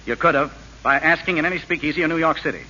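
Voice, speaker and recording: A sharp, sardonic radio-drama schemer. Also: male voice, on the high side, rapid-fire. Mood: bitter, threatening. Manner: sardonic, needling, threatening. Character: scheming, resentful male assistant prosecutor, a corrupt grafter. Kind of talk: 1930s radio acting. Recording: muffled old radio recording with hiss.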